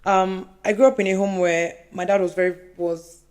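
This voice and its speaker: Lady on a chat podcast, mid voice, lively and quick to laugh. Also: mid-range voice, clean tone. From Nigeria. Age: young adult. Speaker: podcast co-host. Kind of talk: casual chat.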